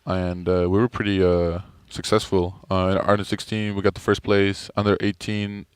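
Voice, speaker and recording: Young German-accented athlete, low and smooth, dry close sound, laid-back answers. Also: male voice, normal speed, no echo. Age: young adult. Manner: relaxed, hesitant, many fillers. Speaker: college basketball player. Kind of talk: interview answers. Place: arena media day.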